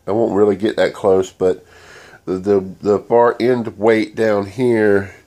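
Stuffy-voiced man with a dark, lowish tone. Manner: rambling, plain-spoken, many uh fillers. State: stuffy from a cold. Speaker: DIY channel host. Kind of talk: casual how-to demonstration. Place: indoor sewing room. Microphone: handheld phone camera.

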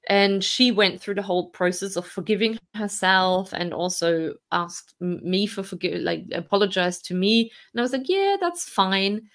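A woman in her forties from Austria, a podcast guest telling her story candidly, reflective and laughing softly between hard memories. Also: mid-range voice, moderate pace, hardly any pauses.

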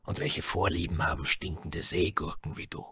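Dwarf barbarian played by a man, scornful and boisterous, forceful rasp, fantasy tale. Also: extremely low pitch, fairly even intonation, normal speed, no echo, studio quality, pitched below the performer's own voice, full character voice. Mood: mocking. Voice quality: gravelly, raspy. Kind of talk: fantasy audio story character.

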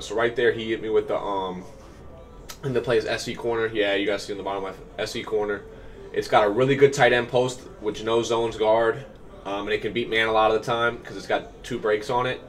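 A young man in his twenties, slightly nasal from a cold, giving upbeat casual commentary on his own game from a small room at home. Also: lowish voice. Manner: upbeat, explanatory, many fillers. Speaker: gaming coach and YouTuber.

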